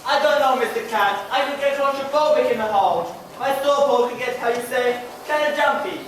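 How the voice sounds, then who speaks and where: A man playing a sly, easily tempted cat in a stage pantomime, with theatrical, halting phrasing. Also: extremely high pitch, fairly bright tone, a little hiss or hum. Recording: distant mic in echoing hall.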